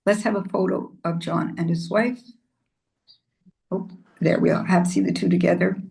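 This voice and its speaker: A woman's voice: fairly low pitch, steady tone, moderate pace, creaky, a little mellow. A woman giving an informal spoken presentation as a presenter at a gathering.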